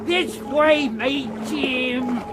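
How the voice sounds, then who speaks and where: An actor playing a half-mad old castaway, rambling and repeating himself in a radio drama. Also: male voice, very high voice, fairly even intonation, very slow, loud music behind the voice, full character voice. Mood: coaxing, reassuring. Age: older. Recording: noisy radio drama with outdoor ambience.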